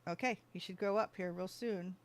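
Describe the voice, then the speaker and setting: A gaming streamer with a mid-pitched voice narrates play in a casual, offhand way. The talk drifts between thinking aloud and addressing animals in the game. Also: normal speed. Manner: talks to game animals and self. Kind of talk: casual gameplay commentary.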